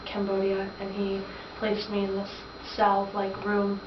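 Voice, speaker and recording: Haunted young female victim, played by a woman, hesitant and flat, in a noisy, roomy mock interview. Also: mid-range voice, lightly acted. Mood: subdued, halting. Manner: halting, hesitant. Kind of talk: student-acted mock interview testimony. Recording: echoey, distant, noisy lo-fi room recording.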